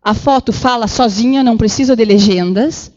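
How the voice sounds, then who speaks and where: A woman giving an animated public lecture with slides in a council chamber, acting out the voices she quotes. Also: a little high, moderate pace, dull audio. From Brazil. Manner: animated, acts out quoted voices, many fillers. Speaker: guest lecturer.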